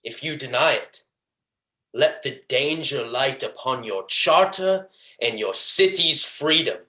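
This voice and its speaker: A young man playing a proud, vengeful merchant villain in a Shakespearean stage monologue, declaiming deliberately and tauntingly. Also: medium pitch, expressive, bright, forceful tone. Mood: solemn, threatening. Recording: echoey room.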